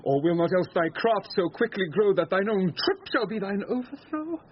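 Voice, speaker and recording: A man's voice: sits high, very animated, normal speed. An actor playing a proud, lovesick nobleman in a lofty, declamatory Shakespearean radio style. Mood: bitter, wounded. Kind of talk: classical Shakespearean radio acting. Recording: slightly muffled radio recording, faint noise.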